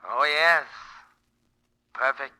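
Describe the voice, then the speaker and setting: A man's voice: mid-range voice, bright, forceful tone, clear, resonant tone, heavily acted, poor audio. A man playing a gloating killer who poses as a mock-polite butler, in 1940s radio acting style. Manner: slow, mock-polite, sinister. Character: gloating male killer coolly posing as butler. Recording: old 1940s radio recording.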